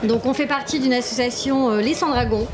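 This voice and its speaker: A woman's voice: a little high, brisk. A woman with an accent from France giving casual answers as an interview guest. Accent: French from France. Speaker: interviewee, member of a fantasy role-play association. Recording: busy crowd noise around. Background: crowd at a medieval festival.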